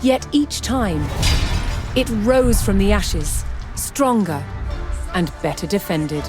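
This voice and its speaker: A woman reads scripted historical narration for a game in a measured, dramatic storytelling manner, with deliberate pauses. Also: medium pitch, expressive. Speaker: documentary-style game narrator. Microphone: studio voice-over microphone. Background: music.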